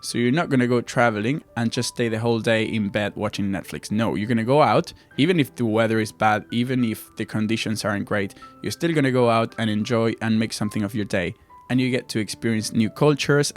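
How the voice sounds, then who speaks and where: Young Argentinian man over guitar music. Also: mid-range voice. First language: Spanish. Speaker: video host, musician. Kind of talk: casual advice talk to viewers.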